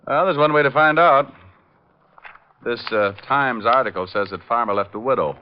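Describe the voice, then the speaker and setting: An actor playing a cool, wry private detective in an old radio drama, with a casual deadpan delivery. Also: male voice, medium pitch, normal speed, slight background noise, natural voice. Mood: businesslike. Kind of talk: 1940s-50s radio detective acting. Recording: dull old-time radio recording.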